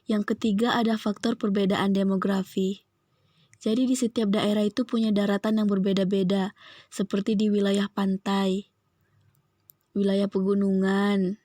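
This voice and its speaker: Young woman, student presenter, scripted lesson delivery, methodical, soft dark tone, little pitch movement. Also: little pitch movement, normal speed, no room sound, clean studio sound. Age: young adult. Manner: methodical, enumerating points.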